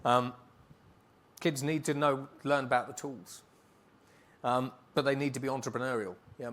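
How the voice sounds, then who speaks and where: A man speaking as a keynote speaker, answering on stage in a conference hall in a brisk, emphatic way and rattling off lists. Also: medium pitch, fairly bright tone.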